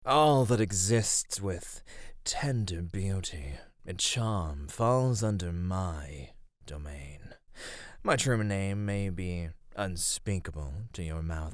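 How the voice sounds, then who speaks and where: A man playing a gentle, flirty immortal god of radiance in an ASMR roleplay, speaking softly and formally. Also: low voice, dry recording, studio quality. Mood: regal, tender. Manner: soft, formal, tender speech.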